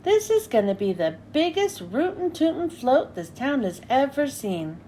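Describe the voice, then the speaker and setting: A woman's voice: sits high, very animated, measured pace, dark tone, slight background noise. A woman voicing a spirited, folksy female squirrel character. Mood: proud. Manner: folksy, boastful.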